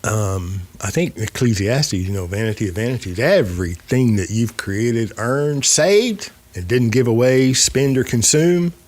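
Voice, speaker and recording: A man's voice: medium pitch, animated, unhurried, hardly any pauses, darkish tone. A man in his fifties hosting a podcast, talking casually and emphatically, repeating phrases to drive a point home. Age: 50s. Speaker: podcast host.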